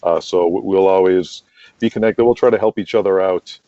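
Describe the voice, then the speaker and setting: A man's voice: deep voice, steady tone, normal speed, warm tone, dry recording, highs cut off. A middle-aged American man telling rambling anecdotes casually as an interview guest. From United States. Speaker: interview guest, wrestling promoter and manager.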